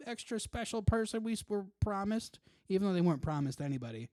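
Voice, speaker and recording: Male co-host, fairly high but mellow voice, dry close sound. Informal banter with mock quotes. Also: expressive, fast, dry recording. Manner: joking, slips into mock quotes. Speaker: podcast co-host. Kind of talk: casual chat, comedic banter.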